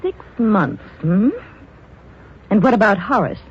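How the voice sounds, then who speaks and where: Scornful fed-up wife, lively pitch, measured delivery, old radio drama. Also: female voice, husky, full character voice. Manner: sharp, contemptuous. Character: scornful, impatient wife, an adult woman. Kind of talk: 1940s radio acting. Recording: dull old radio recording.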